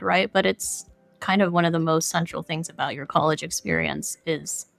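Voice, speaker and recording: Slightly lower, creaky-voiced woman, dry sound. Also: a bit bright, no room sound. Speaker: interview guest, game developer. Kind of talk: podcast interview, casual reflection.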